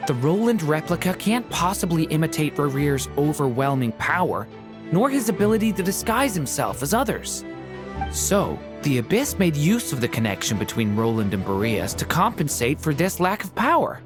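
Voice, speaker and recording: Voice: mid-range voice, big pitch swings, normal speed, over music, natural voice. A voice actor playing an analytical scholar. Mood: explaining. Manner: analytical explaining.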